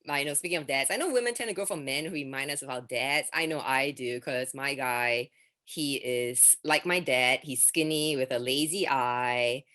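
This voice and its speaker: A young woman from Singapore performing a stand-up set, deadpan delivery with sudden high-pitched exclamations. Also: moderate pace. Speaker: stand-up comedian.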